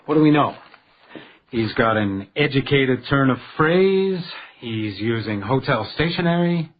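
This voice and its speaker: A man's voice: medium pitch, animated, unhurried, muffled recording, a little hiss or hum, close to an everyday voice. A man playing a dutiful, stubborn hotel detective in a radio crime drama, plain-spoken and understated. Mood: confident, insistent.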